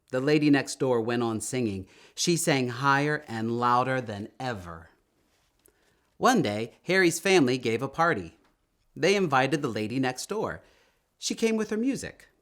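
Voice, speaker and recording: A man reading a children's story aloud in an expressive, emphatic storytelling manner, drawing out words. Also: medium pitch, unhurried. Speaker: storytime reader.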